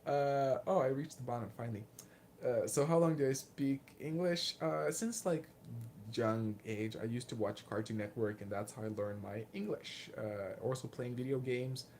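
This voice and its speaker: A man in his late twenties with a Lithuanian accent, casually answering viewer questions in a candid, self-deprecating, halting way. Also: medium pitch, a little mellow, slight background noise. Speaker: martial arts vlogger and fighter.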